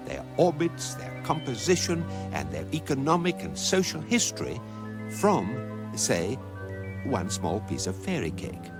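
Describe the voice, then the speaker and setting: An actor playing a dry, urbane guidebook narrator with an English accent, telling comic science fiction in a measured deadpan. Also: male voice, mid-range voice.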